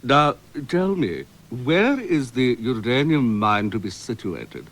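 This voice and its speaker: Courteous, lively-toned radio interviewer. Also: male voice, mid-range voice, slow, full character voice. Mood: polite, serious. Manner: polite, formal.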